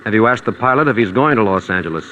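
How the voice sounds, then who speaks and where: Cool sardonic american detective, 40s radio mystery, low punchy voice, bit of hiss. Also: male voice, lively intonation, very fast, sharp tone, highs cut off. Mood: cool, knowing. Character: shrewd, cool private detective, sardonic and confident. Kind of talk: 1940s radio drama acting. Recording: noisy vintage 1940s radio recording.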